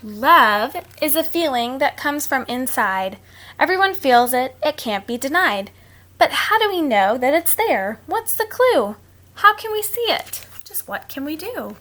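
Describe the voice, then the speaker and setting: A woman's voice: high-pitched, unhurried. A woman reading picture books aloud to children like a teacher, expressively, with simple casual asides. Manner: expressive, simple explaining to young listeners.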